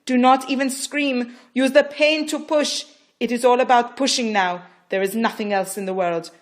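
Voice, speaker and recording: A woman's voice: a little high, fairly even intonation, moderate pace, voice pushed up, lightly acted. A woman voicing a stern, urgent female midwife who barks firm orders during a birth. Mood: commanding.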